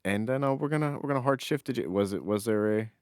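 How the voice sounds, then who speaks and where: Male podcast co-host, lowish voice, playful and emphatic chatter, dry close sound. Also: quick pace, a little mellow, clear, resonant tone, no room sound. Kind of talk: casual comedic banter.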